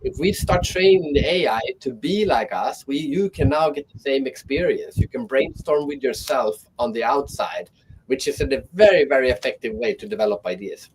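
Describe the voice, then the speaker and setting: A man's voice: medium pitch, animated, moderate pace, slight background noise, low-quality recording. A man speaking as a guest on an online panel, talking thoughtfully and often restarting his sentences.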